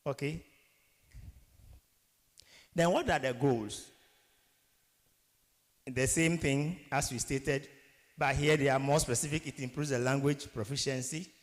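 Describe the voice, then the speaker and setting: A man's voice: medium pitch, fairly even intonation, a little slow, long pauses. A man in his thirties from Ghana with a Ghanaian English accent, giving an emphatic motivational workshop lecture and throwing rhetorical questions at his audience. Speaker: guest lecturer. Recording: long hall reverb.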